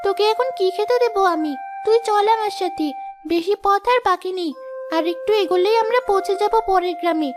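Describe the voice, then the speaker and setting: An actor plays a caring young girl, the elder sister in a cartoon story. Her voice is very high, patient and gently reproving. Clear music runs behind her. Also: brisk.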